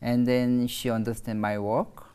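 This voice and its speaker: A middle-aged woman from Korea with a Korean accent, speaking non-native English in an interview, halting with many fillers and restarts. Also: very deep voice, little pitch movement, warm tone, clean tone. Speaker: artist guest.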